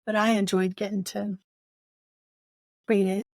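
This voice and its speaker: A woman's voice: medium pitch, level tone, unhurried, darkish tone, dry recording, clean studio sound. A middle-aged woman co-hosting a book podcast, chatting casually and repeating short phrases for emphasis. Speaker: podcast co-host.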